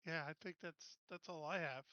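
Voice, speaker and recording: Bright, higher-voiced man chatting at game table. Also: steady tone, normal speed, dry recording, muffled recording. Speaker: tabletop roleplaying player. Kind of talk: casual game-table chat.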